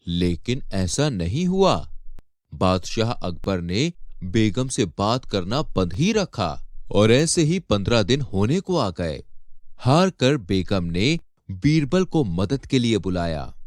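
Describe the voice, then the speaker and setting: Man, storyteller, scripted moral tale, lively intonation, measured pace, dry sound. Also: fairly low pitch, no room sound.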